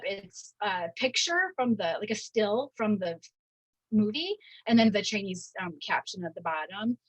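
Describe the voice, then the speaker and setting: A woman giving an informal academic lecture, speaking haltingly and often checking in with "right?". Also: on the high side, breathy edge, no room sound, rough recording. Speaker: university professor giving a lecture.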